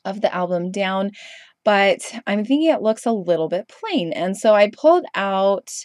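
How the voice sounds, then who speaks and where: A young woman talks through a scrapbook project in a relaxed, rambling way, her voice slightly low and thick with congestion from a cold. She fills gaps with ums and restarts as she goes. The recording is close and dry, with no room sound. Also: dry recording. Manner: chatty, rambling, frequent ums and self-corrections. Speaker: craft video host. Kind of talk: casual voice-over crafting tutorial.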